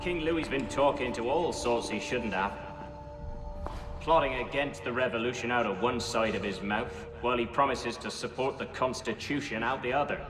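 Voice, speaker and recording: A man's voice: medium pitch, normal speed, natural voice. Conspiratorial informant in echoey hall male actor. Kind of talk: video game cutscene acting. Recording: echoey hall, music behind.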